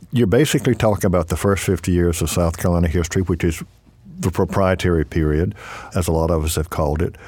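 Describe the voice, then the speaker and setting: A male show host with a low, deep voice who sets up his guest with long, chatty questions. The studio sound is dry and close, with no room echo. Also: a little mellow, breathy edge. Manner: conversational, friendly, leads with long questions. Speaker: radio show host, interviewer. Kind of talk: interview hosting. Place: radio studio.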